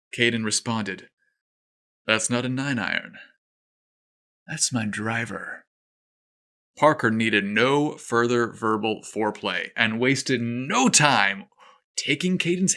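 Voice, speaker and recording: A man hosting and narrating stories in a playful, expressive, teasing way. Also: medium pitch. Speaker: podcast host and narrator. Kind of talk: scripted story narration with playful chatty asides.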